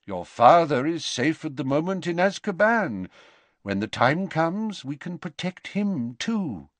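A man voicing an elderly male wizard headmaster, speaking quietly and with measured courtesy. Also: a little high, very animated, dry recording, pitched above the performer's own voice. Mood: calm.

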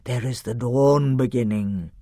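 Majestic lion for a children's storybook, played by a male narrator: deep, measured, fatherly authority, unhurried pacing. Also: warm tone, no echo, studio quality, close to an everyday voice. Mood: gentle, solemn. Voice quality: deep, breathy-slow delivery. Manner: slow, deliberate.

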